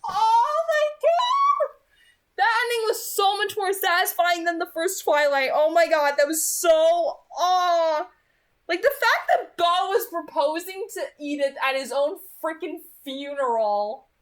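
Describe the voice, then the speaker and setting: A woman's voice: very high voice, expressive, measured pace, fairly bright tone. A woman giving casual fan commentary on a book, in a gushing, emphatic and informal manner. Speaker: amateur book reader and reviewer. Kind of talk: read-aloud narration and casual fan commentary.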